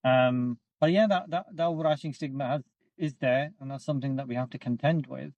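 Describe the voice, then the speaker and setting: A man's voice: medium pitch, darkish tone, dry recording, lo-fi sound. Thoughtful man in a podcast chat. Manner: thoughtful, measured, with fillers. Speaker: podcast co-host. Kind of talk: casual podcast discussion.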